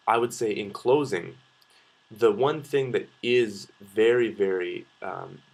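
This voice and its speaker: A young Canadian man with a fairly low voice talks casually to his viewers, as a video blogger would. He shares opinions in a loose, rambling way, hesitating and breaking into his own sentences as he goes. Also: rough recording. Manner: hesitant, rambling, self-interrupting. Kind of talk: casual opinion vlog, talking to camera.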